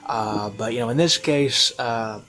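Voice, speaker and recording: A man's voice: medium pitch, measured pace, fairly bright tone. A man giving a casual, unscripted review in a rambling, hesitant way with lots of fillers. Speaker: online reviewer. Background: classical music.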